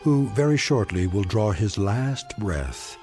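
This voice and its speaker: A man's voice: fairly low pitch, very animated, unhurried, music in the background. A man narrating a scripted radio drama as a grave teller of eerie tales. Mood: grave, ominous.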